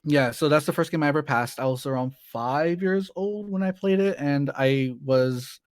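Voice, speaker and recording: A man's voice: a little high, normal speed, no echo, clean studio sound. A man in his late twenties, a streamer, chatting casually as an interview guest, rambling a bit and laughing easily. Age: 20s.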